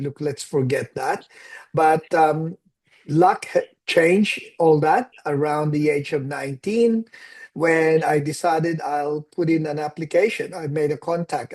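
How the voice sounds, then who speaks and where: Man, sixties, Filipino accent, fairly high pitch, reflective, conversational. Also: a little mellow, breathy edge. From Philippines. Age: 60s. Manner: reflective storytelling, mixes in Tagalog phrases. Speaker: actor, interview guest. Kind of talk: casual interview conversation.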